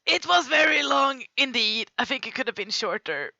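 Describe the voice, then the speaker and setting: A woman's voice: high voice, animated, normal speed, gravelly, sharp tone, no room sound, muffled recording. A woman with a Swedish accent, streaming and chatting casually as she reacts to fan videos, often laughing and apologizing as she stumbles over names. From Sweden. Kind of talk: casual live-stream commentary.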